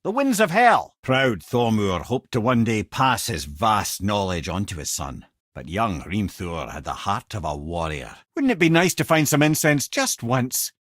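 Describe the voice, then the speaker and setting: An actor playing a witty, learned old storyteller and guide in a video game, with a Scottish accent and a wry, erudite, theatrical way of talking. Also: male voice, fairly low pitch, wildly swooping pitch, unhurried, few pauses, fairly bright tone, no room sound, clean studio sound, exaggerated voice. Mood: solemn storytelling, then counselling, wry. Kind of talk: video game character voice lines, storytelling and banter.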